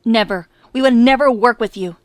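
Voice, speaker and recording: A defiant teenage superhero girl, played by a woman, with a fairly high voice and a dry, close recording. She is angry, blunt and confrontational, in audio drama style. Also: normal speed, no echo, lightly acted.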